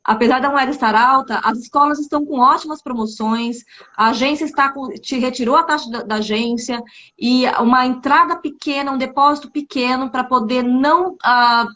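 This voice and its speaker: A woman's voice: sits high, moderate pace, sharp tone. A woman from Brazil hosting a casual live stream for an exchange agency, talking in an upbeat, chatty way and often checking in with "né?". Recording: slightly dull, lo-fi live-stream audio.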